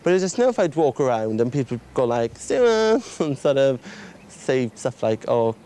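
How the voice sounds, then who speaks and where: A man's voice: mid-range voice, sing-song, moderate pace, mellow, slight background noise. A young man with a Welsh accent chatting in a rambling, casual way, full of fillers. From Wales. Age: young adult. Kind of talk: casual interview chat.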